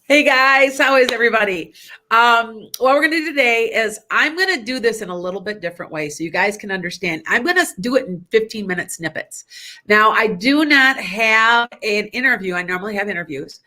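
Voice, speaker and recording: A woman's voice: a little high, animated, a little fast, pushed and bright. Older lady host, stuffy nose, rambly and chatty, laughs a lot. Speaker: psychic radio show host. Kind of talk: casual spiritual talk.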